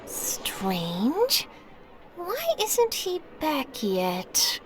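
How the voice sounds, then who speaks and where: A woman's voice: high-pitched, huge pitch swings, extremely slow, exaggerated voice. A woman playing a perky, candid young woman in a video game, slightly flustered in manner. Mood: puzzled.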